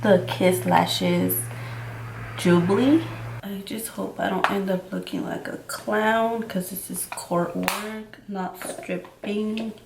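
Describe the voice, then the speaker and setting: A woman's voice: mid-range voice, steady tone, slow pace, vocal fry. A woman of twenty-five, a native Mixtec speaker and court interpreter, chatting casually and rambling through a makeup tutorial with lots of "like.". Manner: chatty and rambling, full of "like" and "freaking". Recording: roomy with faint ambient noise.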